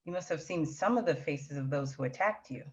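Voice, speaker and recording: A man's voice: on the high side, brisk, muffled recording, close to an everyday voice. A man playing a persistent, frustrated police detective, questioning plainly in a screenplay read-through. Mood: probing. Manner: probing, plain-spoken questioning. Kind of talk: screenplay table read acting.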